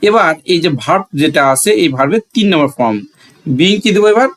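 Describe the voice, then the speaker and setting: A man's voice: fairly high pitch, lively intonation, fairly quick, a bit bright. A man with a Bangladeshi accent teaching step by step in a didactic way, repeating key words and posing questions that he answers himself. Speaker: English grammar teacher. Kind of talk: step-by-step classroom lesson.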